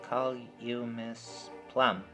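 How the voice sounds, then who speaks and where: A man's voice: mid-range voice, fairly even intonation, very slow, music in the background. A man voicing a timid young boy in a horror story, hesitant and anxious in his speech. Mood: shy, hesitant. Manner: hesitant, stammering.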